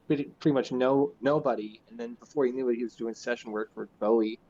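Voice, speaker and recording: A man's voice: medium pitch, fairly even intonation, quick pace, warm tone, slight background noise. A man chatting casually and offering examples in an informal conversation. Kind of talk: casual chat. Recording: dull, lo-fi voice sound.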